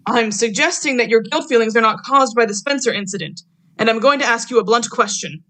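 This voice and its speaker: A young woman playing a measured, clinical doctor who probes and accuses in a vintage radio drama. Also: on the high side, sharp tone. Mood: clinical, probing. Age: young adult. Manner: deliberate, clinical.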